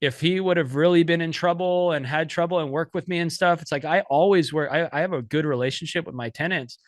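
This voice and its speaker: A man's voice: on the high side, fairly quick, no echo, studio quality. A man hosting a podcast, talking casually in long rambling turns full of fillers and restarts. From United States. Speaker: podcast host.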